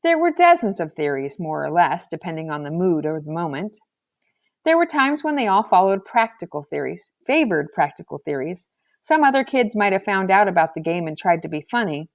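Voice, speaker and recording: A woman reading a children's novel aloud as scripted narration, in an expressive storybook manner. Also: fairly low pitch, a little fast. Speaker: audiobook reader.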